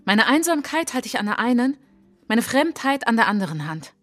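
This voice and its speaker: An actress narrating as a reflective, resolute woman looking back on her childhood in a German radio drama, in measured short phrases. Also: on the high side, sing-song. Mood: intense, proud. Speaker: narrator of autobiographical monologue. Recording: music behind the voice.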